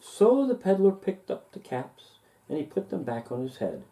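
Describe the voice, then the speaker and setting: A man reading a children's story aloud expressively, with deliberate, sing-song emphasis. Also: a little high, wildly swooping pitch, dark tone, rough recording. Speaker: storybook reader.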